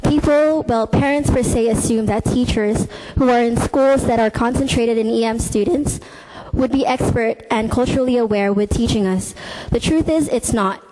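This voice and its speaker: A woman's voice: on the high side, normal speed, warm tone, low-quality recording. A young woman, a university student, reading out earnest, critical testimony at a committee hearing through a desk microphone. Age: young adult. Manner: earnest, critical, read-out delivery. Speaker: student deputation speaker. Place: legislative committee meeting room.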